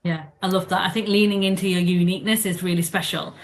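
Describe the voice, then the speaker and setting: A woman's voice: lowish voice, steady tone, fast talker. A woman moderating a panel discussion, earnest and encouraging as she speaks to the founders.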